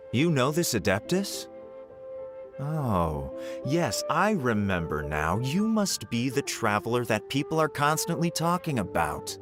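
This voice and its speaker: An actor playing a pompous, condescending official in a video game, speaking in a haughty, patronizing way. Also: male voice, medium pitch. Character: pompous male job candidate, condescending official. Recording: game music behind the voice.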